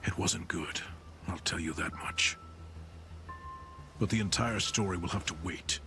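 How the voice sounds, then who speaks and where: A man playing a grim, resolute mercenary lieutenant in a video game, stern, grave and measured. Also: low-pitched, pushed and bright, faint music behind, heavily acted. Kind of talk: dramatic video game acting.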